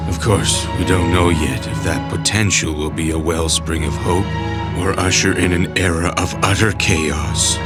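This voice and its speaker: An actor playing an elderly, fatherly male scientist in an animated dub, reflecting slowly and deliberately on his creations. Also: low-pitched. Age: older. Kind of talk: English-dubbed animation acting. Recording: loud music behind the voice.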